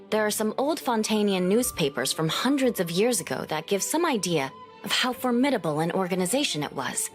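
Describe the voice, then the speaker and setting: A proud young female knight captain from a video game, voiced by an actress. She speaks formally and precisely, with a dutiful, composed air. Her voice sits at a middling pitch, with music playing behind it. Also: lightly acted. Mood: earnest. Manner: measured, formal, articulate. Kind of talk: scripted game dialogue.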